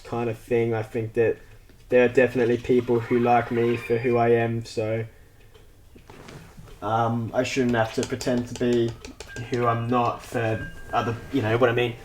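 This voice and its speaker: A man's voice: medium pitch, a little mellow, a little hiss or hum, rough recording. A nineteen-year-old man streaming a game, chatting casually in a rambling, talkative way while answering chat, with a voice that sounds younger than his age. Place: at home in front of a big TV.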